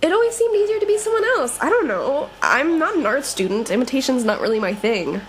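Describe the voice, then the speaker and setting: A woman's voice: sits high, big pitch swings, fairly quick, a little hiss or hum. A young woman playing a brash, sarcastic college-age thief in an audio drama, speaking in breezy, slangy banter. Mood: unsure. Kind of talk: audio drama dialogue, casual and conversational.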